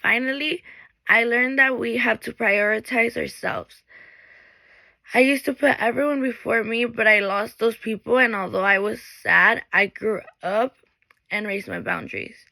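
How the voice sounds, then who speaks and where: Creaky bright young woman. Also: a little high, measured pace, dry recording, low-quality recording. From Mexico. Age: late teens. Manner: earnest, reads from a script, with small restarts. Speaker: graduating high school student. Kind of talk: reading a prepared graduation speech.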